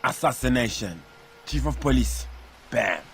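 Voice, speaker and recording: An actor playing a boastful, brash gangster in a video game, speaking in clipped phrases. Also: male voice, mid-range voice, animated, unhurried, vocal fry, a bit bright, a little hiss or hum. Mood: cocky. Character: cocky, boastful male gangster big shot. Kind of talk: video game cutscene acting.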